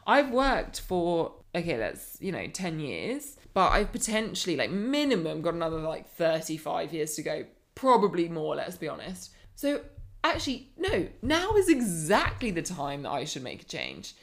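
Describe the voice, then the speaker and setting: Podcast guest, woman in her thirties, life coach: earnest and reflective, with a husky vocal fry in a low voice. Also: normal speed. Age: 30s. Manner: earnest, reflective, animated when building a point. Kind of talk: candid personal storytelling.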